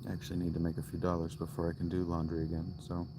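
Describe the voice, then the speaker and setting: Very deep, flat-voiced man musing in a tent. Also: noisy background. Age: 30s. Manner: slow, musing, thinks aloud with restarts. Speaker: livestreamer. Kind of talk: casual livestream chat. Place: inside a tent, outdoors. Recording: loud crickets and outdoor ambience. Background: crickets.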